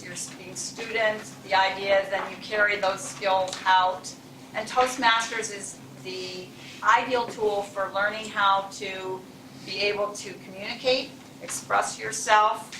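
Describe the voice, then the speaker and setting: A woman's voice: mid-range voice, pushed and bright, far from the mic, a little hiss or hum. A woman, the club president, giving chatty, encouraging welcome remarks to guests in a chilly meeting room. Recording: echoey room, mic from afar.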